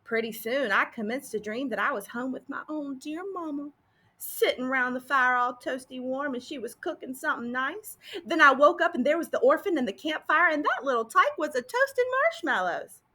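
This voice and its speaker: A woman plays a boastful, none-too-bright cowboy sheriff from a storybook. The voice is high and bright and swings widely in pitch. The American Old West talk is drawled and folksy, with every line played up as a tall tale. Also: expressive, moderate pace. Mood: folksy storytelling.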